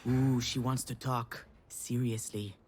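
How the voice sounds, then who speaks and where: A woman's voice: rumbling low, steady tone, slow pace, darkish tone, a little hiss or hum. A woman playing a guarded, wry young failed screenwriter in a visual novel, with a dry, sardonic, confiding manner. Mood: sardonic musing. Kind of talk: visual novel voice acting with inner monologue.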